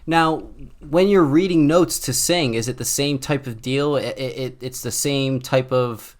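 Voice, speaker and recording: A man's voice: medium pitch, measured pace. Guy hosting a podcast, kinda rambly questions, fumbles for words. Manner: rambling, searching for words while asking. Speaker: podcast host. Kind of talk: casual interview questions.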